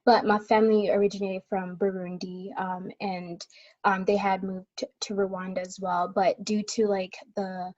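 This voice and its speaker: A woman's voice: medium pitch, little pitch movement, moderate pace, a little mellow. A woman in her twenties answering interview questions casually as a student guest, a little hesitant in her phrasing. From born in Tanzania, in the United States since childhood. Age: 20s. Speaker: guest, college student advocate. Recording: slightly dull video call audio.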